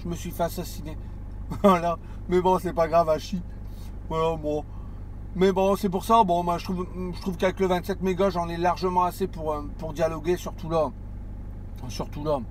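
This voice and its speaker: A man's voice: on the high side, level tone, moderate pace, a little hiss or hum. A man chatting on CB radio from a parked car, hesitant, with many restarts and fillers. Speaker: CB radio operator. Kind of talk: casual radio chat.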